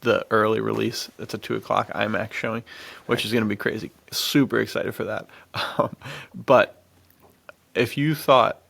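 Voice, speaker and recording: Man with very crackly, gravelly voice, chatting. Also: lowish voice, steady tone, moderate pace, a bit bright, no room sound. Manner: enthusiastic, rambling, chuckling often. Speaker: podcast co-host. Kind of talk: casual chat about action movies.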